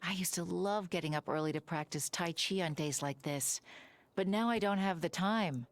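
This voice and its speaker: A woman's voice: fairly low pitch, normal speed, lightly acted. A woman playing a weary, wistful, kindly townswoman in a video game.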